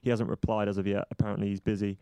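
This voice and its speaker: A man hosts a football podcast with a quick, wry delivery. His voice sits a little low, and he pads his sentences with ums and uhs. The sound is dry and close. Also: little pitch movement, vocal fry, warm tone, clear, resonant tone, dry recording. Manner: wry, dry humour, quick, filler-heavy. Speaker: podcast host. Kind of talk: casual chat.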